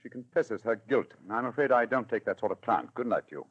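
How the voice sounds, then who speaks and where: An actor with a British accent playing a cool, analytical gentleman detective in 1940s radio drama, clipped, precise and formal. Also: medium pitch, animated, fast, breathy edge, muffled recording, soft background music. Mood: cool, dismissive. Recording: old radio broadcast.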